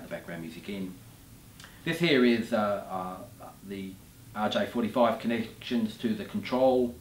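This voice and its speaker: A man in his fifties gives an unscripted product demonstration in a fairly low voice. He speaks haltingly, feeling for his words. The sound is roomy and set back from the mic, with faint noise behind him. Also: distant mic. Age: 50s. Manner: hesitant, halting delivery.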